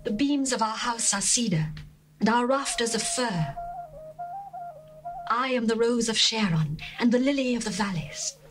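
A woman's voice: fairly high pitch, lively intonation, a little slow, pauses often, rough recording. A woman voicing a lovesick young bride, tender and lyrical. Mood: longing. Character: lovesick young bride longing for her beloved. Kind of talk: dramatized scripture reading. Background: faint music.

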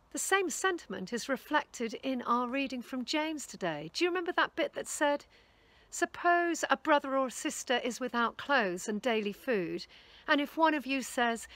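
A woman in her fifties, a charity volunteer speaker, giving an earnest, measured sermon outdoors in a garden on a lapel mic. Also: on the high side, a bit bright. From England. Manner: earnest, measured preaching with rising emphasis. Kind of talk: recorded sermon talk.

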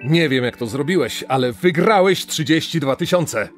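Measured male quiz show host acted by a man. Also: fairly high pitch, lively intonation, close to an everyday voice. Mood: wry, puzzled. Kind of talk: dramatized true-crime podcast reenactment. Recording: music under the voice.

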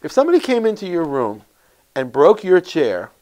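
Middle-aged male educator, expressive and emphatic, very varied melody, explaining to parents. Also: fairly high pitch, normal speed, rough recording. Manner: expressive, emphatic, clearly phrased. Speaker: presenter of reading tips for parents. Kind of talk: instructional narration to parents, with expressive read-aloud demonstrations. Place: indoor classroom. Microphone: lapel mic.

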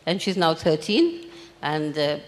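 An elderly woman from India speaks at a measured, thoughtful pace into a handheld microphone on a large festival stage. Her words carry a long hall reverb behind them. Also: low-pitched, very animated. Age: 80s. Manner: measured, reflective storytelling. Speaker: panelist, memoir author. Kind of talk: panel discussion. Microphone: handheld mic.

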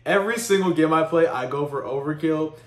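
A man's voice: a little high, brisk, echoey room. A man hosting a reaction channel, giving slangy, casual, conversational commentary. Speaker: host of a reaction video channel.

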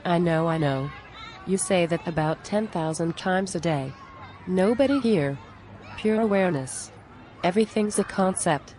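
A woman acting an exasperated, earnest friend, lowish voice. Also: a little slow, darkish tone, a faint crowd, close to an everyday voice. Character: exasperated, plain-spoken woman pleading with an arrogant spiritual friend. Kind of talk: scripted animated dialogue.

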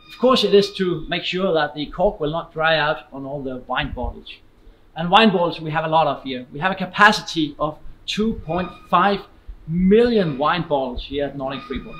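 A man's voice: a little high, a bit bright, slight background noise, low-quality recording. A man, giving a scripted promotional presentation in an explanatory way, speaking straight to the viewer. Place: large indoor warehouse, walking.

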